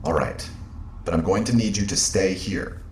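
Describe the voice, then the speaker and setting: An actor playing a stern but uneasy male spaceship captain in an audio drama, earnest and halting in his delivery. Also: low voice, darkish tone, lightly acted. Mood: firm, uneasy. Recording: roomy echo, faint background noise.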